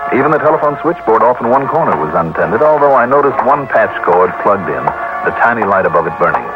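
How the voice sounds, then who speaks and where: An American actor playing a wry, brisk, friendly freelance insurance investigator in an old-time radio drama. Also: male voice, lowish voice, sing-song, over loud music. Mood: dry narration. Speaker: lead actor in a radio detective series. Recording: noisy, muffled old radio recording.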